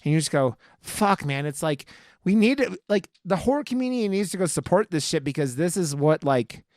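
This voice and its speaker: A man chatting casually as a podcast co-host, rambling and excitable, with free swearing. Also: fairly high pitch, a little fast, dry recording, clean studio sound. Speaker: podcast co-host reviewing horror movies. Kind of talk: casual chat.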